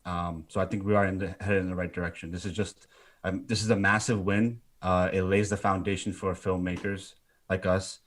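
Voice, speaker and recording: A man's voice: deep voice, little pitch movement, a little fast. A man with a British accent, a filmmaker co-hosting a podcast, speaking earnestly and casually with fillers. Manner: joking, then earnest and quieter. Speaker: filmmaker co-host.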